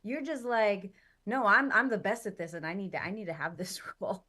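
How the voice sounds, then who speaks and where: A woman's voice: mid-range voice, fairly quick, pushed and bright. A woman born in Chile and raised in Southern California, chatting animatedly as an interview guest, with many fillers. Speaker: guest actress. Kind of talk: casual interview chat.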